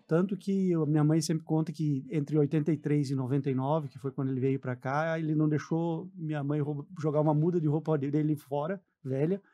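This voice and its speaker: A man in his forties with a southern Brazilian accent talks as a guest in a radio interview. He tells stories in a casual way. His voice is fairly high for a man and has a soft, mellow tone. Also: fairly even intonation, a little fast, hardly any pauses. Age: 40s. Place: radio studio.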